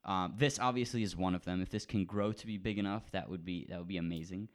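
A teenage boy with a very low, smooth voice chats casually as co-host of a podcast. He rambles with plenty of restarts and gets more animated when he tells a story. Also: fast. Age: teens. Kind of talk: casual chat.

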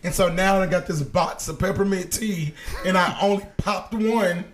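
A hoarse young man hosting a casual podcast chat, telling stories with lots of laughter. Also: high voice, moderate pace. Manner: animated storytelling, laughing often. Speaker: podcast host.

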